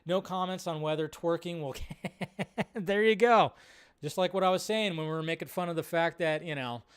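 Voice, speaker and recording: A male livestream host with a smooth, clear voice pitched a little on the high side. He chats casually and rambles off the cuff, often repeating a phrase as he goes. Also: moderate pace. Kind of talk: casual live chat, reading and answering viewer questions.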